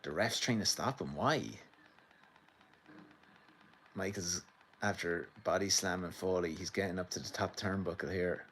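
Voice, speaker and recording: A man's voice: deep voice, normal speed, fairly bright tone, a little hiss or hum. An Irish man from the midlands hosting a rambling live stream from his sitting room, commenting on a match and chatting to viewers. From Ireland. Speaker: livestream host. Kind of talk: casual live commentary and chat.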